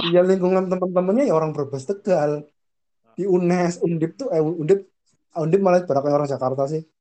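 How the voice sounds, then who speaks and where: A man's voice: sits high, a little fast, dark tone. Chatty young man with a northern Ngapak accent. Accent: northern Ngapak Javanese. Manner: rambling, laughs easily, many restarts. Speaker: podcast co-host. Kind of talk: casual podcast chat.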